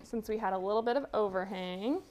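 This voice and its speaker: A woman's voice: medium pitch, fairly quick, a little mellow, lo-fi sound. A woman hosting a live quilting demonstration, chatty and giggly, explaining each step as she sews. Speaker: quilting tutorial host.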